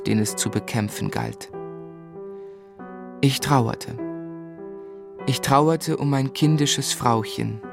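First-person narrator: mid-range, earnest, dramatic gentleman for literary radio drama, over a music bed. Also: medium pitch, gravelly. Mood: sorrowful. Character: sorrowful gentleman recounting his own past.

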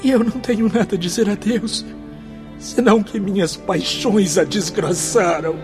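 An actor playing a tormented, repentant former bohemian in a religious radio drama, speaking in a solemn, theatrical, confessional way. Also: male voice, high voice, moderate pace, music in the background, natural voice. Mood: anguished remorse.

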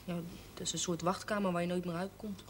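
A cheeky, eager young woman, played by an actress in an old TV drama. Her voice is on the low side and her talk is irreverent and colloquial, over a faintly noisy soundtrack. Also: little pitch movement, fast talker, lightly acted. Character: cheeky, eager young working-class woman seeking baptism. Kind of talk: 1970s Dutch television drama acting.

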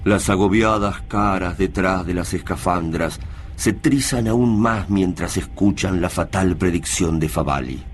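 An actor with an Argentine accent playing the grave narrator of a science fiction war tale in a radio drama. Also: male voice, deep voice, measured pace, a little hiss or hum. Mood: grave, ominous. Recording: loud music under the voice.

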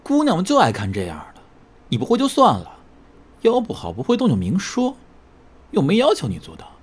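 A man's voice: high-pitched, wildly swooping pitch, a little fast, husky, heavily acted. A man playing a proud, defiant young dancer from a pop group in an audio drama. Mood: taunting.

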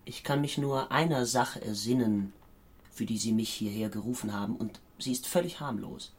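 An actor playing a guarded, evasive man in his twenties under interrogation, hesitant and husky, in a radio play. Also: medium pitch, moderate pace, close to an everyday voice. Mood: guarded, careful. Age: 20s. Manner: hesitant, careful. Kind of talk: German radio play acting.

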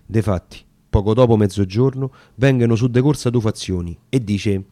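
A man's voice: lowish voice, moderate pace, dark tone, no echo, clean studio sound. A man with a Roman accent giving an emphatic, theatrical radio recitation of a dialect verse story. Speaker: reader of dramatic verse.

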